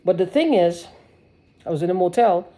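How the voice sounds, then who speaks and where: A woman's voice: on the low side, expressive, normal speed, mellow. A woman giving a rambling personal video monologue, agitated and sarcastic, swearing and restarting her sentences. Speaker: vlogger.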